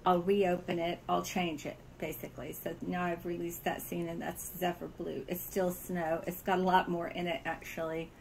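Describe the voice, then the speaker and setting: An American woman from California talks in a fairly low voice. She is informal and prone to chuckling, like a builder showing guests around. The voice-chat audio carries some faint background noise. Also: little pitch movement, normal speed, gravelly, few pauses, rough recording. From California, USA. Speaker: virtual world builder giving a tour. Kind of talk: casual tour chat.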